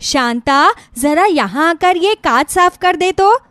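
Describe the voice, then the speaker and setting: A woman voicing a gentle, caring middle-aged mother-in-law, reassuring and motherly, in a moral story. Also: extremely high pitch, animated, slow, no echo, full character voice. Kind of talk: Hindi moral story acting.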